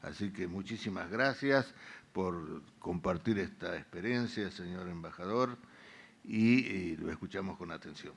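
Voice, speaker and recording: A man's voice: low-pitched, a little slow, creaky, slightly rough. A man moderating a panel, giving formal, ceremonious introductions.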